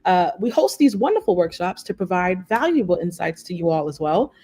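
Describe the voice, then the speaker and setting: A woman's voice: fairly low pitch, sing-song, a little fast, warm tone. A woman hosting a live online workshop in an upbeat, effusive way, welcoming the audience over a video call. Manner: upbeat, effusive, lots of praise words. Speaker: workshop host.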